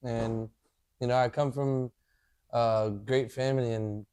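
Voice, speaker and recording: A man in his thirties, a podcast guest, talking in a relaxed way and often adding "you know". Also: medium pitch, measured pace, clear, resonant tone, dry recording. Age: 30s. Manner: laid-back, leans on "you know" a lot. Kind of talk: casual chat.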